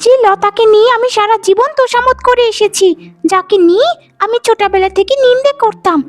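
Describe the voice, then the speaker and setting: Very shrill, scheming young wife. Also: female voice, fairly quick. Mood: bitter, plotting. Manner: theatrical, whining, scolding. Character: jealous, scheming young wife, twin sister, whiny and spiteful. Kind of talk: melodramatic cartoon voice acting. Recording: loud music bed under the voice.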